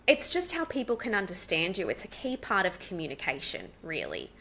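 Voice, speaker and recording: Australian woman, low gravelly voice, quick and animated, guest on an interview podcast. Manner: fast and animated. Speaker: English coach, podcast guest. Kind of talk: casual interview chat.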